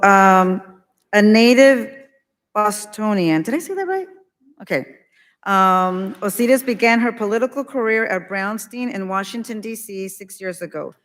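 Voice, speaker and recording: A woman emceeing a conference, making loose, chatty announcements and introducing a speaker. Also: medium pitch. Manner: chatty, loose, with many fillers. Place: conference hall. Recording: long hall reverb.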